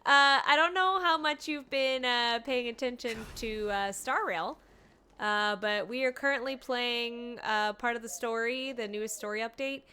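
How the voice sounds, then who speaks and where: Bright, high-pitched woman streaming a game. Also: a little slow, clean tone. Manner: chatty, informal. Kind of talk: casual livestream chat. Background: game music.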